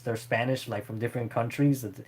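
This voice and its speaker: A man's voice: mid-range voice, fairly even intonation, fairly quick, a little mellow. A man chatting casually and unscripted as a streamer answering viewer questions, in a rambling, hesitant way.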